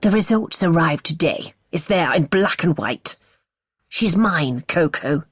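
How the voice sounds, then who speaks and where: Seething controlling man, low-voiced, slow menacing snarl, read by a woman narrator. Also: animated, breathy edge, dry recording, studio quality. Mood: furious.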